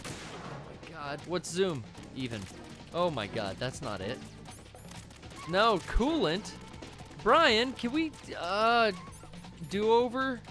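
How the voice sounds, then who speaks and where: Animated man commentating a game stream. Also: high-pitched, slow, long pauses. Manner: animated, laughs often, swears casually. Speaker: streamer co-host. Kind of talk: casual gameplay commentary. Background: game music.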